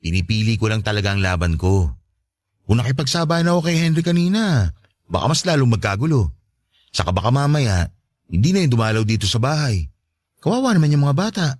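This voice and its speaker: A man playing a gentle, forgiving, insecure boyfriend in an audio love-story drama, soft-spoken and placating, with a Filipino accent. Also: medium pitch, very animated, brisk, pauses often, no room sound.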